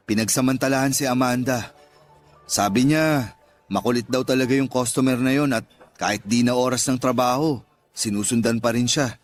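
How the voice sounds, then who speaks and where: A sincere young suitor, played by a man in a radio love drama. His mid-pitched voice is earnest and gently reassuring, with faint music underneath. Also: lightly acted. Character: sincere, devoted young male suitor and confidant. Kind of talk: Tagalog radio drama acting. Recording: music behind the voice.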